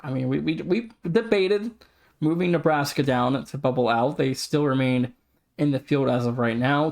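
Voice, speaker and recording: A man's voice: medium pitch, darkish tone. A man co-hosting a sports podcast, casually running through standings and team updates. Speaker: podcast co-host.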